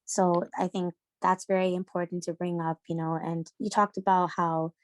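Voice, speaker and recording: Young woman guest, low monotone, dry close sound, hesitant delivery with lots of fillers. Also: moderate pace, no room sound. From Sri Lanka. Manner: hesitant, many fillers and restarts. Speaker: podcast guest. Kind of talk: casual discussion.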